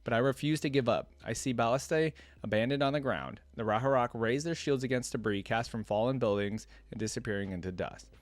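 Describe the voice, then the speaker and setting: A man's voice: medium pitch, a little fast, few pauses, no echo. A man hosting a reaction video, reading a story aloud and adding casual, musing asides.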